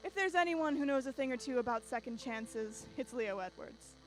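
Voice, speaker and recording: A woman's voice: on the high side, lively intonation, moderate pace, faint music behind. A woman narrating scripted introductions as host of a radio drama anthology, addressing listeners like a theatrical storyteller.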